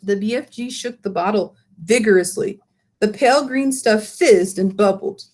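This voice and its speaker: A woman reading a children's storybook aloud as expressive scripted narration. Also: mid-range voice, normal speed. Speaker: storybook reader.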